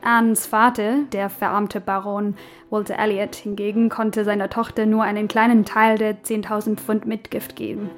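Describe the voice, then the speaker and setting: Even-toned woman giving scripted commentary. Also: mid-range voice, normal speed. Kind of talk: scripted explanatory narration.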